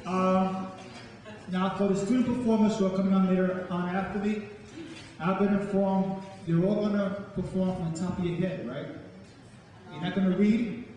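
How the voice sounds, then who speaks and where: A man's voice: high voice, steady tone, moderate pace, dark tone. A middle-aged man, a poet performing and talking to an audience from a stage. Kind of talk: live spoken-word performance with informal remarks to an audience. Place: campus event hall, live audience. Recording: echoey hall, distant mic, faint noise.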